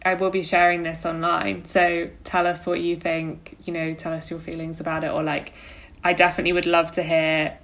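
A woman speaks in a slightly low voice as a podcast co-host. She talks informally, thinking aloud in loose, conversational phrases. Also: level tone, moderate pace, fairly bright tone. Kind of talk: casual podcast chat.

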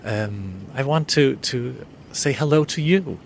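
A man's voice: mid-range voice, lively intonation, slow, gravelly, a little hiss or hum. A man whose first language is Danish hosts an unscripted solo podcast, talking in a hesitant, intimate way with many restarts. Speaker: podcast host.

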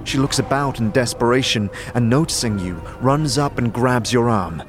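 A man narrating a fantasy audio drama with measured, theatrical storytelling in a dramatic scripted style. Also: medium pitch. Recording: prominent music behind the narration.

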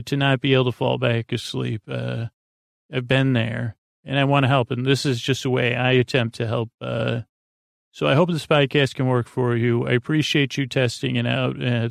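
A man with a creaky voice hosts a bedtime podcast, meandering haltingly in a lulling, self-deprecating monologue. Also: medium pitch, flat delivery, dry recording, clean studio sound. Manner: lulling, soothing. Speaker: podcast host.

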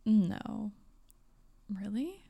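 A woman's voice: mid-range voice, lively intonation, drawn-out words, vocal fry, mellow. A young woman co-hosting a podcast in casual chat, laughing often and trailing off. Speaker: podcast co-host.

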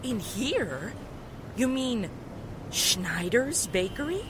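A woman's voice: fairly high pitch, very animated, extremely slow, background noise, exaggerated voice. A woman playing a haughty, self-righteous small-town housewife with an emphatic manner in a 1940s-style radio drama. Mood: indignant, disdainful.

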